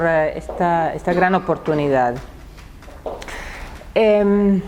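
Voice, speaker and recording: Woman in her 60s, italian accent, low-ish voice, halting public talk, faint noise behind. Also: a little mellow. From Italy. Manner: halting, repeats words. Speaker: speaker.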